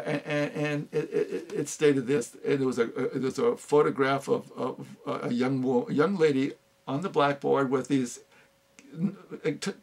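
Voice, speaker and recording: An older man giving an unscripted talk on philosophy, halting often with fillers and restarts. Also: medium pitch, gravelly, darkish tone. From United States. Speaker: speaker on philosophy.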